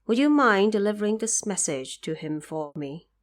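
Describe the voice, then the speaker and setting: A woman acts out a compassionate goddess in an audio drama. She is calm and courteous, with a formal, measured delivery that carries quiet command. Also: mid-range voice, lightly acted.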